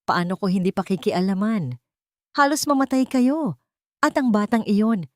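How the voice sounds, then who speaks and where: A woman's voice: medium pitch, expressive, moderate pace, no room sound, studio quality, voice pushed up, close to an everyday voice. Woman acting an insistent, indignant rich man. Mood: demanding. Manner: insistent, intense. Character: demanding, bewildered rich young man, former lover. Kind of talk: dramatic audio story acting.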